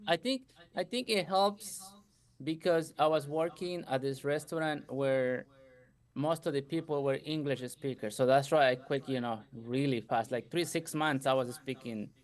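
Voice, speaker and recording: A man's voice: mid-range voice, moderate pace. A middle-aged man from Ecuador with a light Ecuadorian Spanish accent, telling his story as a guest in a casual interview, chatty and laughing often. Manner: chatty, laughs often, says "you know" a lot. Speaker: guest entrepreneur.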